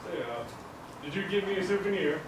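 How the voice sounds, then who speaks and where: A proud, fond puppet-show dad played by a young man, slightly high for a man and a little hesitant. The voice carries from far off in an echoey hall. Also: expressive, a little fast. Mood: affectionate. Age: young adult. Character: Amelia's proud, affectionate, joking father. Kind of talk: live puppet show acting for children. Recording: echoey distant hall with hiss.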